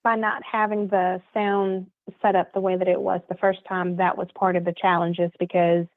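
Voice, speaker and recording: Woman, soft low voice, gravelly edge, tinny phone-line sound, calm co-host. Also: normal speed, no room sound. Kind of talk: casual call-in chat.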